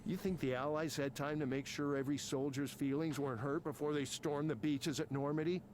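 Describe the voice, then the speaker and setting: A man's voice: fairly high pitch, fairly even intonation, brisk, darkish tone, slight background noise, close to an everyday voice. An actor playing an angry, self-important tech programmer in his fifties for a video game, ranting with sarcasm and swearing. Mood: defensive, angry. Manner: combative, sarcastic, profane ranting. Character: angry, embittered, self-important fifty-something male tech company programmer. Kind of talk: naturalistic video game voice acting.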